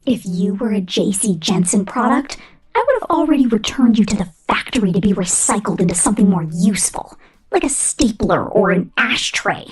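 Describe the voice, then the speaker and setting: Casting: female performer as menacing female robot corporate supervisor, ASMR roleplay. Heavily breathy and whispery, highly animated intonation, cold contempt, slow theatrical threats. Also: soft background music, low-quality recording. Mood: scornful.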